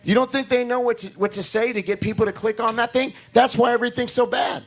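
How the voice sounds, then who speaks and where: A hoarse male pastor preaching a sermon in a church, rising into emphatic declarations. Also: extremely high pitch, fairly even intonation, quick pace, a bit bright.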